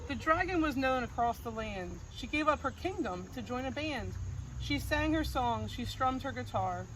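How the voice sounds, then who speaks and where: A woman reading a rhyming picture book aloud in a gentle sing-song cadence, recorded outdoors on a farm. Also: high-pitched, lively intonation, moderate pace. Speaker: storybook reader. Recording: noisy outdoor recording with crickets.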